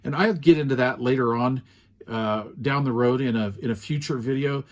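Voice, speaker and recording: A man's voice: mid-range voice, moderate pace. Man, middle-aged, casual rambling review, plenty of fillers. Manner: relaxed and rambling, many fillers. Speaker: radio reviewer.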